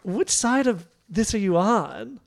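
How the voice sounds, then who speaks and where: A man's voice: high voice, lively intonation, takes it slowly, creaky, heavily acted. A man playing a lovestruck, hesitant and sad office worker in a comedy script table read. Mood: anxious, curious.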